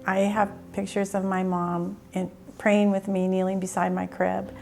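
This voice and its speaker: A woman's voice: medium pitch, flat delivery, unhurried, gravelly. A woman in her sixties telling personal stories as a missionary in a documentary interview. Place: bright room at home. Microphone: lapel mic. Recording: music behind the voice.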